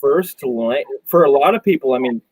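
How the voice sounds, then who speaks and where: A young man talking as a guest on a video call, relaxed and slangy, pausing on fillers. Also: mid-range voice, husky, no echo. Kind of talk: casual conversation over video call.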